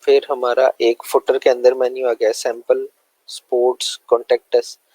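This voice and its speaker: A man's voice: mid-range voice, level tone, moderate pace, dark tone, dry recording, rough recording. A man with a sore throat, giving a loose, conversational screen-share lesson full of fillers. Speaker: web development tutor.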